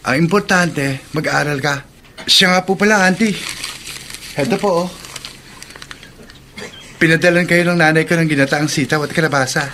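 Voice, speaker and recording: Schoolboy role: teenage, friendly, respectful, very high male voice, light background noise, Filipino radio drama. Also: normal speed, pauses often, sharp tone. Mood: polite, friendly.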